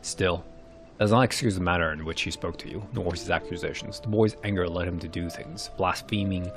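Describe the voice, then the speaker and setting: Casting: courteous, hesitant fantasy mask keeper, low and fast-spoken male performer, light music underneath. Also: vocal fry. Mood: regretful. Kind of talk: narrated fantasy game dialogue.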